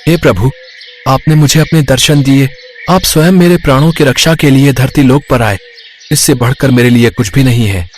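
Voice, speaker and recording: A man's voice: mid-range voice, normal speed, a little hiss or hum, lightly acted. A man voicing a devoted, grateful young devotee in a devotional story. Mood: reverent, grateful.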